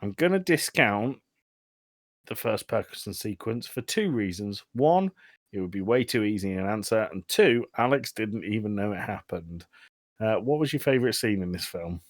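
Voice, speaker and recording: A man's voice: on the low side, expressive, normal speed, no echo, studio quality. A man hosting a casual film discussion podcast, speaking in a jokey, sardonic way. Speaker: podcast host.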